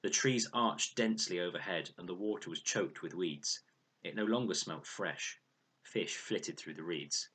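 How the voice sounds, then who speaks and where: A man with a deep voice narrates a children's book. His tone is husky and breathy, and he reads expressively as a storyteller. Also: measured pace, a bit bright. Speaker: storyteller reading aloud.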